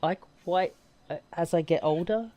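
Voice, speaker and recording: A man's voice: on the high side, unhurried, mellow, muffled recording. A man co-hosting a casual gaming chat, talking in a rambling, emphatic way and often correcting himself. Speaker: podcast co-host. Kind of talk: casual chat about video games.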